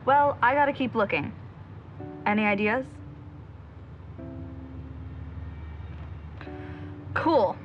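Woman playing a driven young investigator, fairly high voice. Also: lively intonation, moderate pace, soft background music, natural voice. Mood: focused. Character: driven, bossy young amateur investigator hunting a missing girl. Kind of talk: naturalistic audio drama acting. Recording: slightly dull audio with faint ambient noise.

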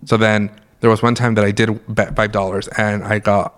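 A young man co-hosting a casual podcast, talking with a raspy just-woke-up voice and joking and laughing as he tells stories. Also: on the low side, little pitch movement, normal speed, fairly bright tone. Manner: joking, laughs often, rambling storyteller. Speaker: podcast co-host. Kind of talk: casual chat.

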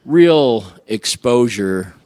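An American man from Illinois speaking as a radio guest, telling personal stories in a casual, halting, folksy way. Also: mid-range voice, lively intonation, mellow, no echo. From Illinois, United States.

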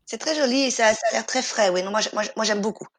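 A woman's voice: fairly high pitch, quick pace. A woman co-hosting a podcast, chatting casually with a stuffy cold and many hesitant fillers. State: has a cold. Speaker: podcast co-host. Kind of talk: casual chat.

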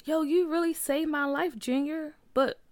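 A woman's voice: high voice, steady tone, unhurried, dry recording, clean studio sound. A woman voicing an eager, earnest young boy with a plaintive edge in a children's story. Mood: grateful, puzzled. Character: eager young boy, daring but easily shaken. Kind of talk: acted children's story dialogue.

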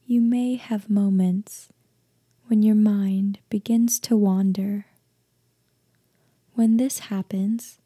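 A woman leading a guided meditation in a soft, breathy, half-whispered voice, gentle and deliberate, leaving long pauses. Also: mid-range voice, dry recording, studio quality. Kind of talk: guided meditation, slow soothing instruction.

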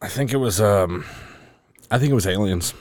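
A man's voice: on the low side, normal speed, a little mellow, no echo. A man co-hosting a casual podcast, laid-back and wry, teasing his partner and laughing easily. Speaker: podcast co-host.

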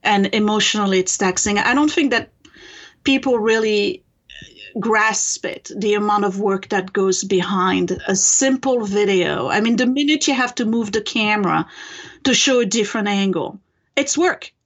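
A woman's voice: medium pitch, moderate pace, dull audio. A woman in her fifties from France with a French accent, talking as a guest in a casual, earnest podcast interview. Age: 50s. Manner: earnest and expressive.

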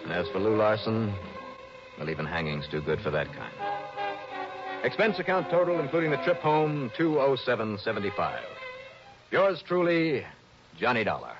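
A man's voice: on the low side, sing-song, normal speed, pauses often, music in the background. A man playing a shrewd, tough insurance investigator in a 1960s radio detective drama, clipped and assured. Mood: wry, narrating. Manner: clipped, assured, hard-boiled. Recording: dull, noisy old radio broadcast.

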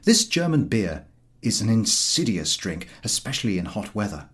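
A man reading a humorous story as an audiobook narrator, in a wry, expressive storytelling manner. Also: on the low side, normal speed.

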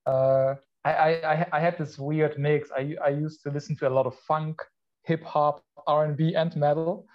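Podcast guest: man from Poland, fairly high voice, stop-start chat, dull recording. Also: flat delivery. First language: Polish. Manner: halting, with many restarts and laughs. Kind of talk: casual chat. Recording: muffled lo-fi sound.

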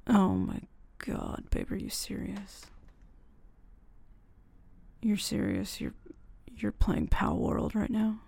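A woman playing an affectionate, curious, easily horrified tomboy girlfriend in a casual, softly spoken ASMR roleplay. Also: medium pitch, big pitch swings, gravelly, slightly rough, full character voice. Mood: curious, incredulous. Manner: soft, hushed, unhurried, casual with hesitant restarts. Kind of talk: girlfriend roleplay ASMR audio, casual improvised-sounding chat.

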